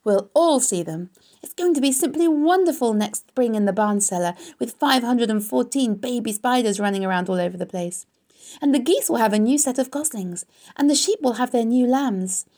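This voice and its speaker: A woman voicing an eager, innocent young male pig from a storybook, excitable and full of wide-eyed questions. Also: high-pitched, normal speed, slightly rough, voice pushed up, exaggerated voice. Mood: earnest, excited.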